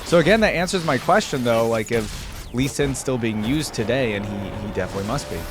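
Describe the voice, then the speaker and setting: A man giving casual, slangy, enthusiastic gaming reaction commentary, thinking out loud as he goes. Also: medium pitch, lively intonation, fairly quick. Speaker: reaction video host. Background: game music.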